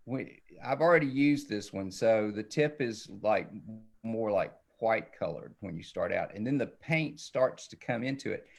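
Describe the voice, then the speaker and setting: A man's voice: mid-range voice, gravelly, a little hiss or hum. A middle-aged man giving a casual, rambling drawing demonstration for children, often checking in with the viewer.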